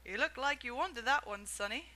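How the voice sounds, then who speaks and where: A woman voicing a fat, kindly male shopkeeper in a storybook reading, who yells when excited. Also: normal speed. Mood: pleasant. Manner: booming excited yelling when thrilled.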